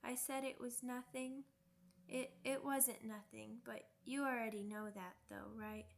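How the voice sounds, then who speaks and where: A woman's voice: a little high, steady tone, unhurried, gravelly. A woman playing a young werewolf pup in an ASMR roleplay, childlike, cheeky and earnest, with pouty, rambling speech. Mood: vulnerable, earnest. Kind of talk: ASMR roleplay audio drama.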